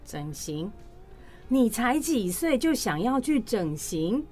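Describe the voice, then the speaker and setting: An exasperated mother voiced by a woman in a radio play. She nags and scolds in a high, lively voice that rises and falls sharply. Light music plays behind. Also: slow pace. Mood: scolding. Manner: scolding, nagging. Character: nagging, exasperated mother of a schoolgirl. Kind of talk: audio drama acting.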